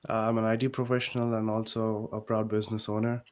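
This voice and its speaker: Man from ohio, flat steady voice, guest on a video call. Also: lowish voice, quick pace. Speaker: panel guest, IT professional.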